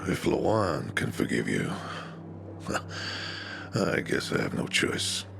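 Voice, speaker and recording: An actor playing a gruff, commanding older veteran leader in a video game cutscene, blunt and gravelly. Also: male voice, very deep voice, very animated, slow, full character voice.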